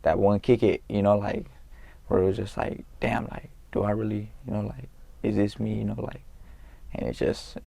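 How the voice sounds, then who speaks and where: A young man from California, a guest in an interview, talking in a laid-back, halting way with street slang and lots of fillers. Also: lowish voice, level tone, creaky, dark tone, husky, dry recording. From Norwalk, California. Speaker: guest, rapper. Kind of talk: casual interview talk.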